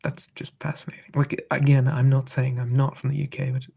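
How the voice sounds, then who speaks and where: Husky, creaky whisperer. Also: medium pitch, level tone, a little fast. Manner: soft, drifting between whisper and quiet voice, musing. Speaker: ASMR streamer answering live chat. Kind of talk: whispered ASMR live chat.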